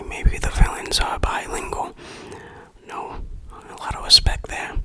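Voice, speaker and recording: A person whispering an ASMR game review in a breathy, unvoiced voice, deliberate and tongue-in-cheek. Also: fairly bright tone, rough recording.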